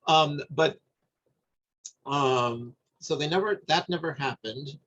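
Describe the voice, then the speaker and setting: A middle-aged man from New Jersey talking in a thoughtful, halting way, often repeating words as he searches for them. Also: fairly high pitch, fairly bright tone, lo-fi sound. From New Jersey, USA. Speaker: guest. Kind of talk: reflective conversation.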